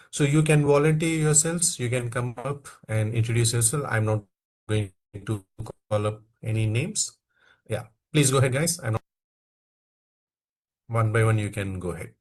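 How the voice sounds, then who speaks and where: A man from India leading an online training class, who keeps saying "okay" between phrases and coaxes quiet participants to speak. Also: fairly low pitch. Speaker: instructor.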